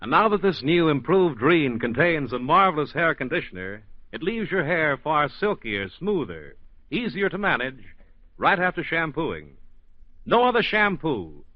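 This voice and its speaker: A man's voice: fairly high pitch, very animated, measured pace, no echo. A male announcer giving an emphatic 1940s radio commercial. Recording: dull dry 1940s radio broadcast.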